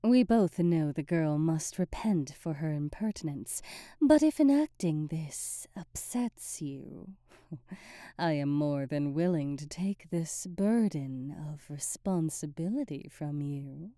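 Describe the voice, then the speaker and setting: A woman acts out a silky, scheming sorceress from a fantasy ASMR roleplay, with a low, very soft and dark voice. She speaks slowly, teasing and insinuating in ornate courtly phrases. The sound is close and dry. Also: animated, no room sound. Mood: coaxing, possessive. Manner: theatrical, teasing, insinuating, ornate formal diction. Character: sly, silky, manipulative female sorceress advisor to a queen.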